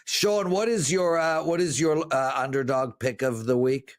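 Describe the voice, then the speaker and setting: A Canadian man hosting a sports betting show, joking and rambling in casual chat, with a raspy voice. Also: a little high, fairly even intonation, normal speed. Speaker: show host.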